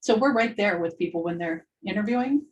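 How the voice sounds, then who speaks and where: A woman's voice: medium pitch, a little fast, muffled recording, slight background noise. Chatty middle-aged woman presenter. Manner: animated and chatty, laughs often, many ums. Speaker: education professor presenting a program. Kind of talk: informal presentation to prospective students.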